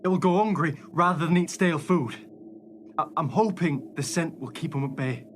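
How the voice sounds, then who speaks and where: A man's voice: on the high side, normal speed, natural voice. A man playing an anxious, earnest survivor in a radio play, speaking with urgency. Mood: uneasy. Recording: clear background noise.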